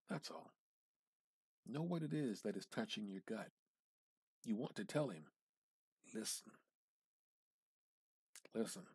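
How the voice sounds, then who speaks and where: Low, husky man reading fiction dramatically. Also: a little mellow, dry recording. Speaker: amateur reader practicing dramatic reading. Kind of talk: dramatic reading of a literary short story.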